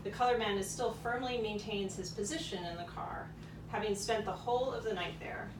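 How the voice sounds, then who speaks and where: A formal voice of old proclamations and news reports, performed by a woman in a puppet show. It sounds distant and echoey, with clear noise around it. The words are declaimed as quotations from history. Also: medium pitch, fairly quick, lightly acted. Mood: formal reporting. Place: outdoor courtyard performance. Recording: distant, echoing, noisy live courtyard recording.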